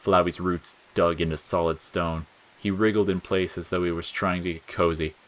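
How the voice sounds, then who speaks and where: A man's voice: low voice, level tone, normal speed. A man reading scripted fiction as a dramatic audiobook narrator.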